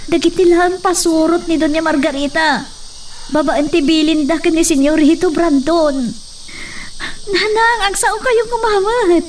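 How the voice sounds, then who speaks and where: A woman playing a gentle, worried daughter, soothing and concerned, in an Ilocano radio drama, with an Ilocano accent. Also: very high-pitched, steady tone, a little slow. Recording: distant mic, faint noise behind.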